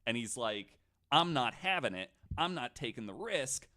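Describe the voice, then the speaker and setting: A man hosting a casual podcast, retelling stories to his guests in an animated, swearing way. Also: medium pitch, normal speed. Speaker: podcast host.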